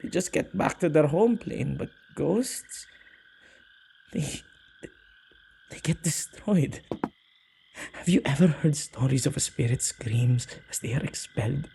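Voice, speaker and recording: A tender, protective male witch, acted by a man, speaks in a soft, intimate ASMR roleplay style. His voice is mellow and slightly halting, with gravelly fry. Some background ambience sits behind him. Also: fairly high pitch, slight background noise. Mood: impassioned, upset. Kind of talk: ASMR boyfriend roleplay acting.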